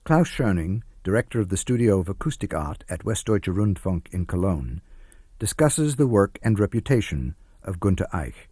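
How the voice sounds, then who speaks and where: A man narrating from a script for radio in a measured, formal manner. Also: low-pitched, very animated, warm tone, breathy edge, no echo, studio quality. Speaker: radio announcer and translation reader.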